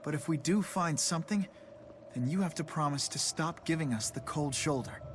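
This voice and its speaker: An actor playing an earnest, kind, gentle young man in a fantasy adventure game, polite and sincere in his delivery. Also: fairly high pitch, moderate pace, slight background noise, natural voice. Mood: earnest, reassuring. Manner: polite, sincere, soft-hearted. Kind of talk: video game cutscene voice acting. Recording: game music behind the voice.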